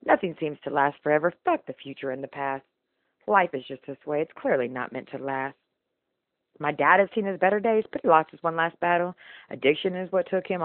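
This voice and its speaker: A woman's voice: mid-range voice, no room sound, narrow, tinny audio. A woman performing spoken-word slam poetry in an emotional, rhythmic, rhyming delivery. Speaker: slam poet.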